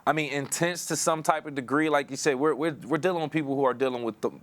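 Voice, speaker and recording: A man's voice: fairly high pitch, steady tone, quick pace, fairly bright tone. An American man hosting an interview, conversational and wordy, often repeating words as he works toward a question. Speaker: interview host.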